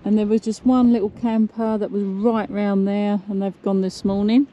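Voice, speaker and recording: A middle-aged woman with a medium-pitched voice talks casually, as if to friends on a travel vlog. Her delivery is chatty and informal, recorded on a nearby camera with light outdoor noise. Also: flat delivery, moderate pace, dark tone, muffled recording. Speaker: travel vlogger. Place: mostly outdoors on open clifftop.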